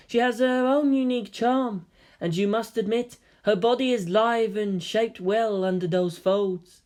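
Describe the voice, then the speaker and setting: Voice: medium pitch, darkish tone, voice pushed up, close to an everyday voice. A narrator voicing a haughty, lecherous seer who speaks inside a boy's head in a fantasy story.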